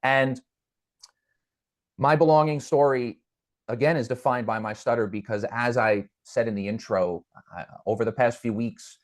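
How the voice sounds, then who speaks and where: A man's voice: mid-range voice, steady tone, normal speed. A man guest in an interview, speaking earnestly and reflectively, with a stutter that brings repeated words and restarts. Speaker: podcast guest. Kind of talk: conversational interview.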